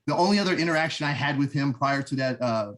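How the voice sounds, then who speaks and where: Man, middle-aged, American, earnest, rambling, casual interview guest, medium pitch. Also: animated, fast talker, a bit bright. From United States. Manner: earnest, rambling with many fillers and restarts. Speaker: podcast guest, veteran. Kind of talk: casual conversation.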